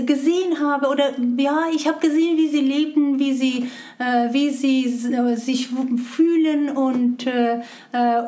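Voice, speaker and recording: Middle-aged Iranian woman with a Persian accent, high voice, halting non-native German, full of fillers. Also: dark tone. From Iran. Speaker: interview guest. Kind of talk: conversational interview.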